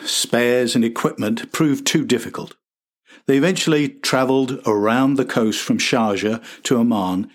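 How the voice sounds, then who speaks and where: A middle-aged man with a husky voice, reading scripted documentary narration as a podcast host. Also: mid-range voice.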